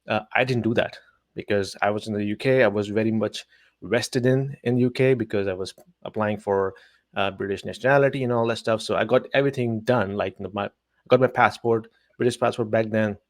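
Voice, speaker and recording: A man's voice: fairly low pitch, dry recording, studio quality. A man in his forties from Pakistan, a telecom executive, giving thoughtful interview answers with many hesitations.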